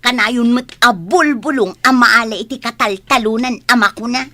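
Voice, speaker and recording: An actress playing a sulky, complaining young daughter in a radio comedy drama. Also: female voice, on the high side, moderate pace, pushed and bright. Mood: resentful.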